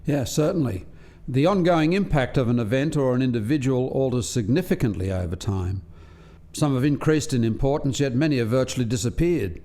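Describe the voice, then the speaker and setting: A man's voice: medium pitch, fairly quick, mellow, natural voice. A man playing an earnest alien scientist who explains experiments to colleagues in formal, articulate language in a radio play. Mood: matter-of-fact, explanatory.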